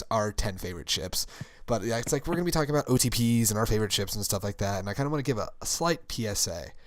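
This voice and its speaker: A young man talks in a casual, rambling way, laughing easily. His voice is hoarse, gravelly and scratchy from screaming, and sits fairly low. The sound is dry and close. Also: no room sound. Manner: rambling, upbeat, laughs often. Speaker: podcast co-host. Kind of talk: casual chat.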